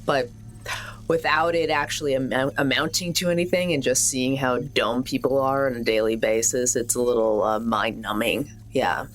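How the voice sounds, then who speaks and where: A woman's voice: a bass voice, fairly even intonation, normal speed. A woman chatting casually and a little hesitantly as she improvises as a player in a tabletop roleplaying game.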